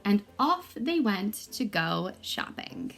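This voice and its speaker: Female storyteller for picture books: very animated intonation, unhurried pace, expressive emphasis. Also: mid-range voice, gravelly, faint music behind. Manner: deliberate, dramatic emphasis for young listeners. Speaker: read-aloud storyteller. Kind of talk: expressive children's story reading.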